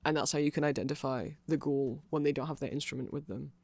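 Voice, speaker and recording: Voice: mid-range voice, steady tone, fast talker, creaky, a little mellow, dry recording. A person giving a chatty, rambling ASMR-style fan explainer in a playful, informal way. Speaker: ASMR creator explaining band lore.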